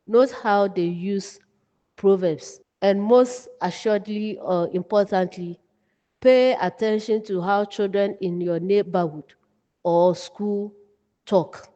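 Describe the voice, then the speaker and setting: A woman teacher delivers a lesson at a slow, deliberate pace. She breaks her points into short, instructive phrases. Her voice rings with long reverb. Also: medium pitch, mellow, dull audio. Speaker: writing teacher. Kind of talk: lesson narration with read-out examples.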